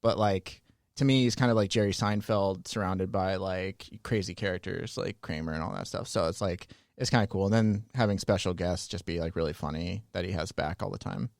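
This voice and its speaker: A man hosting a podcast in a studio, chatting casually in a relaxed, rambling way and laughing easily. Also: deep voice, a little fast, gravelly, dry recording. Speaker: podcast host. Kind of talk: casual chat.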